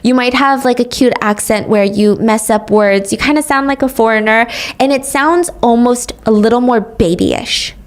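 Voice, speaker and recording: A woman hosts a true crime podcast, walking through the case in a lively, chatty way. Her pitch sits a little high, and she drops in dry, sarcastic asides. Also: normal speed, few pauses. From grew up speaking English, also Korean. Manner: sarcastic asides, animated retelling. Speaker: podcast host. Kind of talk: true crime storytelling chat.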